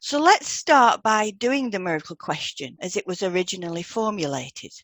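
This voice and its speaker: A woman narrates a scripted podcast in deliberate, measured phrases. Her mid-range voice rises and falls expressively, recorded dry with no noticeable room sound. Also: mid-range voice, very animated, fairly bright tone, dry recording. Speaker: hypnotherapist and podcast co-host.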